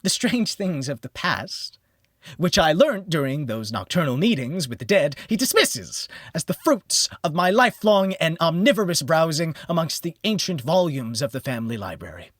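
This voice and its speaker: A man narrating a gothic horror audiobook in the first person, with a theatrical, solemn, deliberate delivery. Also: on the high side, big pitch swings, a bit bright, dry recording, studio quality. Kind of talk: dramatic scripted narration of a gothic horror story.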